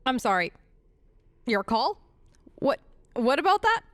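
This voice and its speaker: A woman's voice: high voice, big pitch swings, a little slow, no room sound. A woman hosting a role-play game let's play, talking casually in character with a hesitant, playfully sarcastic, conversational manner.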